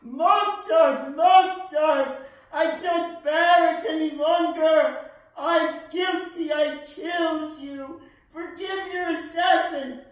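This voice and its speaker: A woman's voice: medium pitch, slow, pauses often, darkish tone, natural voice. A teenage woman playing a guilt-ridden, bitter old male Italian composer, theatrical, pleading and declaiming. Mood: anguished. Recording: echoey room, distant microphone.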